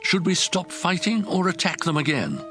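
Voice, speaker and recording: A man's voice: sits high, big pitch swings, moderate pace, bright, forceful tone, faint music behind, exaggerated voice. A man acting an earnest, troubled priest in beseeching prayer, in a dramatized audio Bible. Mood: troubled, pleading. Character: earnest troubled Israelite priest praying to God.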